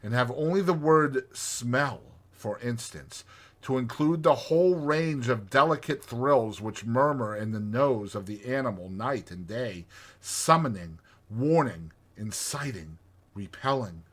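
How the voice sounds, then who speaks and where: Expressive male storyteller with lively intonation. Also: medium pitch, unhurried. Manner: expressive, theatrical. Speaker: audiobook narrator. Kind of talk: dramatized storybook narration.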